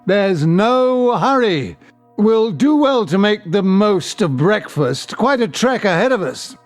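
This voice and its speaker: A man's voice: high voice, very animated, slow pace, faint music behind, full character voice. A man playing a fussy, uneasy man in his sixties in audio drama dialogue. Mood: nervous, caring.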